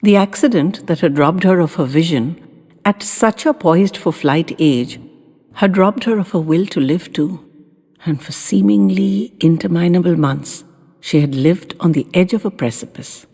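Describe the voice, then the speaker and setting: A woman's voice: fairly low pitch, a little slow, dark tone, breathy edge. A woman reading a story as scripted audiobook narration, in a tender, dramatic storytelling delivery. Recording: long reverb with background music. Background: music.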